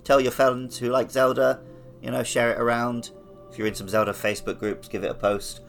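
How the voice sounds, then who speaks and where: A man with a medium-pitched voice co-hosts a video game podcast. He talks in a casual, conversational way, with music faintly audible underneath. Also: flat delivery, fairly quick, creaky. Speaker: podcast co-host. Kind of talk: casual gaming podcast chat.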